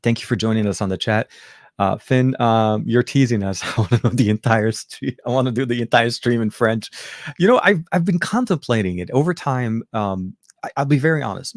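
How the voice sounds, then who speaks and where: A middle-aged man, born and raised in Lebanon with Arabic as his first language, hosting a casual livestream from home. His voice sits a bit low, recorded dry and close. He rambles in a friendly way, full of "um"s and restarts. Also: dry recording. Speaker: tech show host. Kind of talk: casual livestream chat reading viewer comments.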